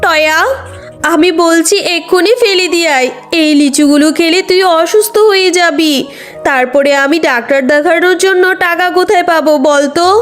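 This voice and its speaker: A woman's voice: extremely high pitch, moderate pace, fairly bright tone. A woman voicing a weary, poor mother fox in a cartoon, plaintive and firm, over music. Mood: scolding.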